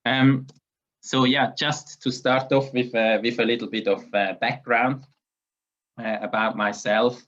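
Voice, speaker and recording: A man delivers a conference keynote in a flat, level voice that barely rises or falls. He speaks haltingly, restarting phrases and repeating words as he goes. The audio sounds slightly muffled and dull. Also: medium pitch. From Switzerland. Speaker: engineering researcher giving a keynote.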